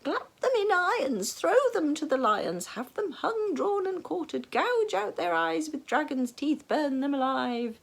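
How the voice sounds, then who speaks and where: Woman voicing a frail old king, quavery, breathy, high and wavering, storybook. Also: sing-song, measured pace, darkish tone, exaggerated voice. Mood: ranting.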